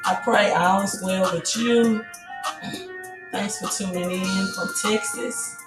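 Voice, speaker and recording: Hoarse raspy woman, casual livestream host, roomy distant mic with faint music under it. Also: medium pitch, steady tone, slow. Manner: greets viewers, urges likes and subscribes.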